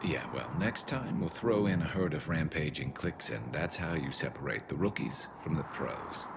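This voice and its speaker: Cocky alien soldier, extremely deep gravelly voice, wry, teasing, game banter, noisy background. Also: male voice, expressive, normal speed, heavily acted. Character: cocky, wry male Turian alien soldier and loyal friend. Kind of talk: video game voice acting.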